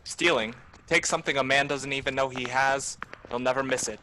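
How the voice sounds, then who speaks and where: A man's voice: medium pitch, fairly even intonation, fairly quick, bright, forceful tone, slight background noise, close to an everyday voice, poor audio. A man playing a greedy, scheming butler in a radio play, pushy and contemptuous. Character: scheming, greedy male butler, bitter and bold. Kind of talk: amateur radio play acting.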